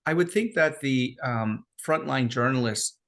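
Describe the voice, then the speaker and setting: A man's voice: medium pitch, unhurried, a bit bright. A man hosting a remote interview, asking long questions with hesitant restarts. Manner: hesitant, frequent fillers and restarts. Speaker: interview host.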